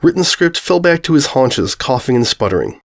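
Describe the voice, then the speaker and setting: A man narrates a story in a medium-pitched voice. His scripted reading is expressive, with wide, lively swings in intonation. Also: moderate pace, gravelly. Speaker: audiobook narrator.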